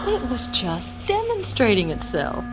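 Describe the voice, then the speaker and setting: An excitable, naive wife speaks in a high, unhurried voice, expressive and easily flustered. The recording has the dull tone of vintage radio drama. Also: female voice. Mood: coaxing, relaxed. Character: excitable, chatty, trusting young wife. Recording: muffled vintage radio recording, music behind.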